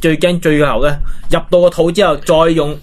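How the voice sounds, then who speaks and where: A man's voice: fairly high pitch, level tone, moderate pace. A man with a Hong Kong accent chatting casually as a talk show host, making satirical points in a mock-serious way.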